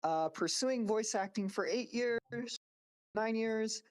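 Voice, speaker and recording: High-pitched male voice actor chatting. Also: slow pace, clean tone. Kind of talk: casual self-introduction.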